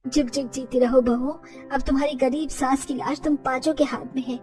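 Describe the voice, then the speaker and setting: A poor, kindly and anxious village mother-in-law from a cartoon moral tale , acted by a woman. Her voice is high, set a little back from the mic, with faint music behind it. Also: fairly even intonation, moderate pace, distant mic, close to an everyday voice. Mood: tender blessing. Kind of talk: moral-story cartoon dialogue.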